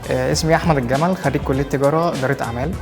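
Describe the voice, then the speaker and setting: A man's voice: medium pitch, very fast. A 27-year-old man with an Egyptian accent, talking enthusiastically with filler sounds in a testimonial. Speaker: intern. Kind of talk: testimonial interview. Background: music.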